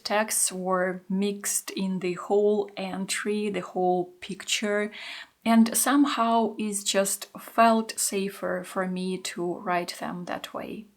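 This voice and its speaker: Woman, thirties, calm, introspective, unhurried narration, gravelly fry. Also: medium pitch. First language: Russian. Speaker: art journaling creator. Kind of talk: reflective voice-over narration.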